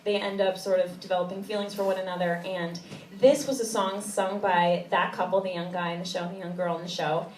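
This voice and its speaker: A woman's voice: fairly low pitch, fairly even intonation, fairly quick, far from the mic, a little hiss or hum. A woman cabaret singer giving casual, chatty, giggly stage banter between songs, often interrupting herself. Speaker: cabaret singer introducing a song. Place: small cabaret stage before an audience. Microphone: distant audience camera. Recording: echoey live hall recorded from afar.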